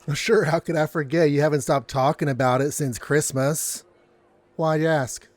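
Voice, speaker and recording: Male actor as meek young barista and stagehand, slightly high voice, hesitant and mild, audio drama. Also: steady tone, moderate pace, lightly acted. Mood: casual. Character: meek, good-natured young male coffeehouse worker and stagehand.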